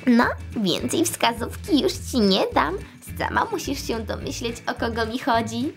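Casting: invisible male Smurf played by a woman, high, slow, knowing, playfully mysterious, storybook medium. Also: expressive, creaky, faint music behind. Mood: teasing. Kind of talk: children's toy storytelling.

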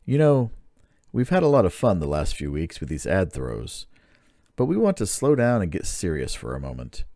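A man's voice: deep voice, huge pitch swings, normal speed, mellow, dry recording, studio quality. A man giving a sincere scripted announcement to listeners.

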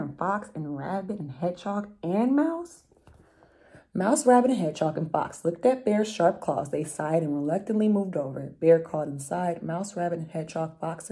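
A young woman reads a children's story in a low, mellow voice with some huskiness. She is expressive and drops into chatty asides to explain things to young listeners. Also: husky. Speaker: storyteller reading a picture book aloud. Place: room at home, indoors.

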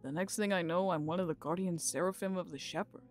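A woman playing a friendly young guardian who reassures the heroine, in a fairly low voice. She talks in a relaxed, easy way. Faint music sits underneath. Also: fast talker, natural voice. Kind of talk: fan audio drama acting.

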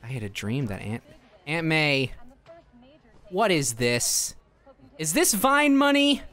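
A man's voice: on the high side, very animated, slow pace, sharp tone, full character voice. Man doing a frantic young superhero, wildly swooping voice. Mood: hurt, bewildered. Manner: overdramatic, flustered. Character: frantic, anguished young male superhero, dim and whiny. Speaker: voice actor in a comedy fan dub. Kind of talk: improvised parody game dubbing. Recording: music playing behind the voice.

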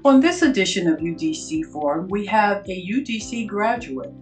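A woman's voice: mid-range voice, expressive, normal speed, faint music behind. Older woman hosting a college interview show. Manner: warm, proud, formal. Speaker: host and professor. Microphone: video call.

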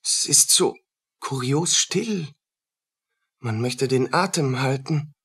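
An actor playing a haunted, humble poor soldier in a radio play, speaking in halting fragments with a husky voice. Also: male voice, medium pitch, fairly bright tone. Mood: haunted, fearful.

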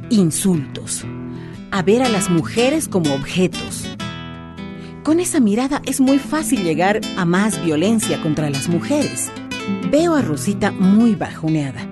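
A woman with a Bolivian accent playing a firm, caring football team captain in a radio drama. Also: medium pitch. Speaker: football coach.

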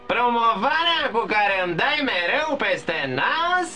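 A blustering loudmouth dad. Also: male voice, very high-pitched, very animated, loud music behind the voice. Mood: scornful. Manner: boastful, blustering. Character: Andy, boy's excitable loudmouth father. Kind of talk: Romanian cartoon dub.

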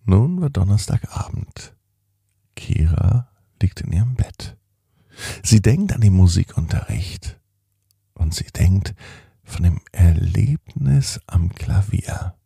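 A middle-aged man hosting a children's podcast, telling a bedtime story in a slow, soothing, gently animated way. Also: deep voice, gravelly, breathy edge, dry recording, clean studio sound.

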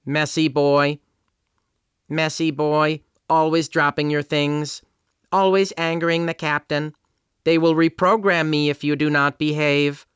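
A man's voice: a little high, flat delivery, unhurried, fairly bright tone, clean tone, no room sound, studio quality, voice pushed up. A man voicing a demented, cranky robot nanny who nags in clipped commands for an audiobook. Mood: scolding.

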